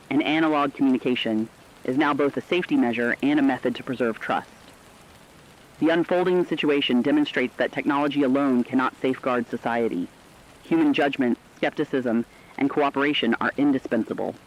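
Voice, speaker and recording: A man voicing a serious radio correspondent, giving scripted crisis analysis in a formal, earnest, measured way. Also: mid-range voice, close to an everyday voice. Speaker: news correspondent. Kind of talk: scripted emergency radio news report. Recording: dull lo-fi radio broadcast sound.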